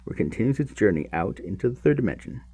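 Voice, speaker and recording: Mellow, husky man reading aloud, very animated pitch. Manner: emphatic, halting, with repeated words and muttered asides. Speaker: podcast host reading a book aloud. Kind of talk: reading aloud from a speculative science handbook with offhand asides.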